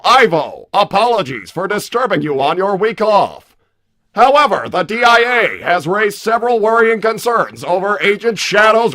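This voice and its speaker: A man voicing a loud, belligerent combat robot with stilted, mechanical, declamatory delivery in a livestream roleplay. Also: sits high, full character voice. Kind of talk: improvised character roleplay on a livestream.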